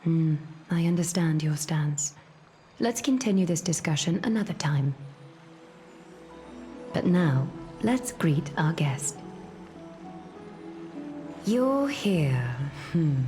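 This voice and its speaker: A woman playing a solemn, composed female leader in a video game, speaking formally and with measured resolve. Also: low voice, lively intonation, dark tone, faint music behind. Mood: stern, resolute.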